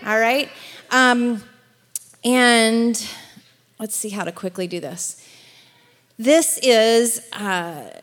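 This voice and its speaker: A middle-aged woman with a Southern US accent, chatting warmly from the pulpit with frequent laughs. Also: on the high side, extremely slow, long pauses. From Alabama. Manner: chatty, upbeat, laughs often. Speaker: guest speaker. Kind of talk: church address. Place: church sanctuary. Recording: long church hall reverb.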